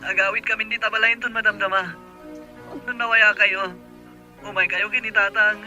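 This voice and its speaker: Actor as younger sibling with grim news, really sharp bright voice, music behind. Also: medium pitch, flat delivery, normal speed, dull audio. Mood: grave, urgent. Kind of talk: Ilocano radio drama acting.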